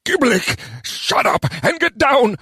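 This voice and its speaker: A man's voice: mid-range voice, sing-song, moderate pace, a bit bright, slightly rough, no echo, studio quality, voice pushed up, heavily acted. A man voicing a scheming, irritable goblin mayor in an audiobook, grumbling and giving orders. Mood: snappish, hushed. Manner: grumbling, commanding. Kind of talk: audiobook character voice.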